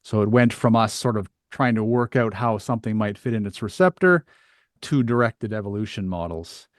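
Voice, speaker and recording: A middle-aged man hosting a conversational podcast interview, thinking aloud in a halting way with restarts. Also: lowish voice, no echo, studio quality. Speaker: podcast host.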